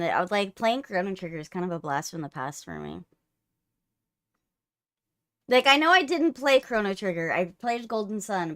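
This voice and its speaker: A woman's voice: mid-range voice, fairly bright tone, no echo. A woman hosting a livestream in casual chat, teasing her co-host playfully, close on the mic.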